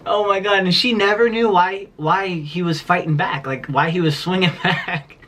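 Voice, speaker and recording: A man's voice: high voice, fairly even intonation, moderate pace, fairly bright tone. Rambling young man telling a story. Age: 20s. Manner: rambling, filler-heavy, laughing through the story. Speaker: vlogger. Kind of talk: casual storytime vlog.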